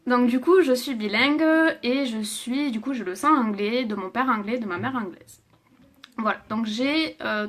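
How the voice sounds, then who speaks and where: A woman's voice: a little high, fairly bright tone. A woman in her twenties from Toulouse, telling her personal story on a live stream, hesitant with many fillers and close to tears. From France. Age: 20s. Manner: hesitant, many fillers, laughs and reads viewer comments. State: emotional, near tears. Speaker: livestreamer.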